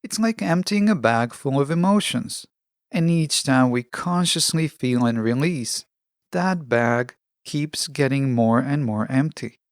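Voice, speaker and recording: A man hosting a podcast, delivering a scripted self-help monologue in measured, deliberate phrases, close on the microphone. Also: mid-range voice, animated, no room sound. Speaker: podcast host.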